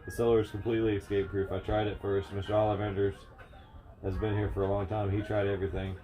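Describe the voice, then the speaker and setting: A man voicing a gentle, calm, dreamy teenage girl in a storybook, softly and without hurry. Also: lowish voice, quick pace, lightly acted.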